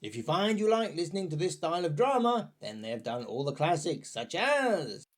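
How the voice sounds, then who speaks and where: A man with a fairly high, very expressive voice narrates a scripted review. He sounds chatty and wry, dropping playful asides as he presents. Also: normal speed.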